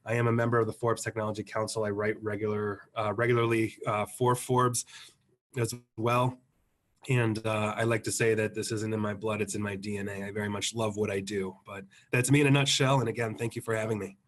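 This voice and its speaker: Hoarse guy with the flu, webinar guest, clears his throat, confident techie talk. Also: on the low side, level tone, a little fast, fairly bright tone. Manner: clears throat, technical and self-assured. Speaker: guest security expert. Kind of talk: webinar explanation. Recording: remote webinar audio.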